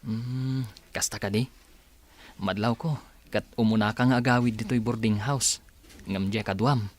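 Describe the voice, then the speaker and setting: A man's voice: fairly low pitch, steady tone, measured pace, close to an everyday voice. Man acting a hesitant, shy young male student. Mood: hesitant, apologetic. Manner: hesitant, apologetic. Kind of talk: radio drama acting.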